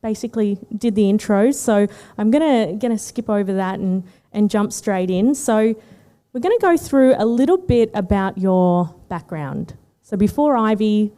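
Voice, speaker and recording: A woman's voice: medium pitch, warm tone. A woman hosting an event interview, opening with friendly, loose questions. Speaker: interviewer host.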